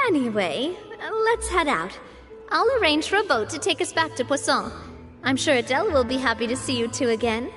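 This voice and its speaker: Spirited young lady boss in a game, high voice, really melodic, kindly and encouraging. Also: animated, fairly quick, a bit bright, faint music behind. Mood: cheerful. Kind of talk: animated video game voice acting.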